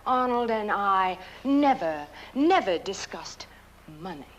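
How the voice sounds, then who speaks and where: An actress playing a sweetly scheming young bride, demure and measured, in a film drama. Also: female voice, mid-range voice, expressive, dull audio, a little hiss or hum. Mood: sweetly defiant.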